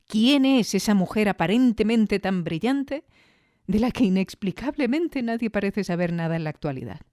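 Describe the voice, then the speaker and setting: Female host, Spain Spanish accent, soft dark tone, close dry sound, thoughtful conversational commentary. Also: mid-range voice, lively intonation, moderate pace, no echo. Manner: reflective, explanatory, with rhetorical questions. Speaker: audio fiction review show host.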